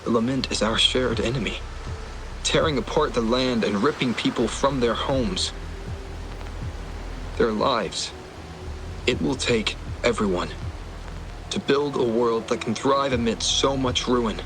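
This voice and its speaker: A man voicing a solemn leader in a video game, delivering a measured, grave and deliberate monologue. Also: medium pitch, background noise, close to an everyday voice. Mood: solemn resolve. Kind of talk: scripted dramatic game monologue.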